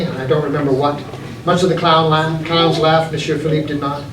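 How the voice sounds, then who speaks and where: A man with a fairly high voice reads his own story to be heard live. He is theatrical and deliberate, leaning on dramatic pauses. The recording is distant and echoey, with clear noise behind him. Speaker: author reading from his own book. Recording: echoey, noisy room, distant mic.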